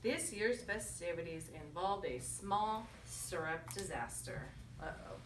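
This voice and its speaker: A woman with a bright voice reads a children's picture book aloud as a teacher would. She pauses to ask encouraging questions and echo answers. The recording is roomy, picked up from a distance, with faint noise behind her. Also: fairly low pitch, animated. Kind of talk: storybook read-aloud with conversational questions to children. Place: echoey indoor room with children. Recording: echoey room, distant mic, faint noise.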